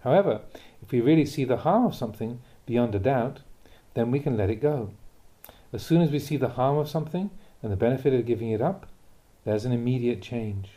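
Very mellow, dark-voiced man reading Buddhist teachings. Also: medium pitch. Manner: measured, deliberate, instructive. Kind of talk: scripted reading of a dharma talk.

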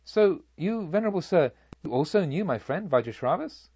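A man's voice: fairly high pitch, big pitch swings, normal speed, darkish tone, husky, no echo, dull audio, pitched above the performer's own voice, heavily acted. A man voicing a fierce but humbled robber who questions a monk with earnest, formal respect, as in a dramatised audiobook. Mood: astonished questioning. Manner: emphatic, pleading, formally respectful.

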